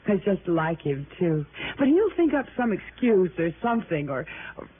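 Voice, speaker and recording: A woman's voice: lowish voice, big pitch swings, moderate pace, slightly rough. An actress playing a talkative, evasive married woman in an American radio drama, with an American accent and a stammering, deflecting manner. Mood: evasive, flustered. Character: chatty, evasive married woman growing flustered under questioning. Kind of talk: mid-century American radio drama acting. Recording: noisy, narrow-band vintage radio recording.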